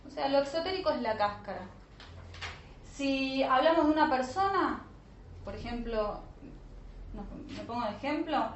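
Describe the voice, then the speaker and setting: A woman with a fairly high voice gives an explanatory lecture, checking in with her students through short questions. The recording is roomy and echoey, with a slightly dull sound. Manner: didactic, frequent check-in questions. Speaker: teacher of an online spiritual alchemy course. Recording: roomy echo, dull lo-fi sound, faint noise.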